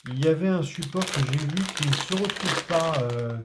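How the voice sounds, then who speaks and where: A man's voice: fairly high pitch, moderate pace, very noisy. A man from France doing a casual unboxing, talking hesitantly with many fillers and restarts. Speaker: tech reviewer.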